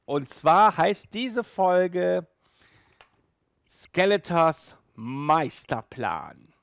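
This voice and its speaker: A man's voice: sits high, big pitch swings, drawn-out words, gravelly, a bit bright, smooth, no room sound. A man in his thirties hosting a casual video review, talking in a chatty, colloquial way. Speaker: host of an audio drama review show. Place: small room with sofa and shelves, indoors.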